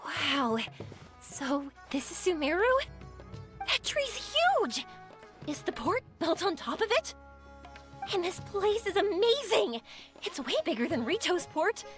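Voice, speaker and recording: A woman's voice: extremely high pitch, sing-song, takes it slowly, a bit bright, soft background music, heavily acted. A woman voicing a bubbly, enthusiastic young woman traveller in a video game, eager and exclamatory. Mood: awed, excited.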